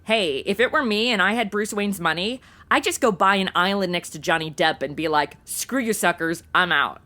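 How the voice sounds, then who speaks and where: A woman's voice: mid-range voice, normal speed, sharp tone, natural voice. A woman playing a sarcastic, flippant modern young woman in a comic audio drama, talking in casual slangy banter. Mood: sarcastic, exasperated. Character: snarky modern young woman stuck in old radio shows. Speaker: star of an audio comedy.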